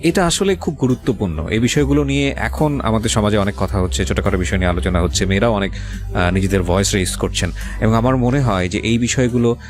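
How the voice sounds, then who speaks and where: A man with a Bangladeshi accent hosting a radio interview, speaking in a measured, reflective way and mixing in English words. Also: lowish voice. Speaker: radio show host. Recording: music playing behind the voice. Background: clear background music.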